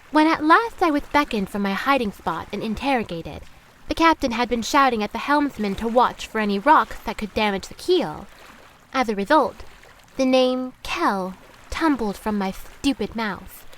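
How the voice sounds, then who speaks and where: Actress as high-voiced seafaring storyteller, watery background noise. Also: female voice, fairly bright tone. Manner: measured, formal, old-fashioned diction. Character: young woman sailor recounting her seafaring past disguised as boy. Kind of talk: first-person storytelling in audio drama.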